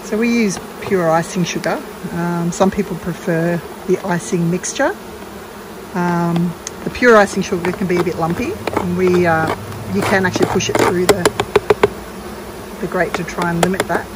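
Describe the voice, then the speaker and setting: A woman's voice: on the low side, moderate pace. A woman giving a casual, unscripted step-by-step beekeeping demonstration in a practical way. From Australia. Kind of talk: casual hands-on tutorial narration. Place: outdoors in a garden among beehives. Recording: clear background noise of buzzing insects. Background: bees buzzing, outdoor ambience.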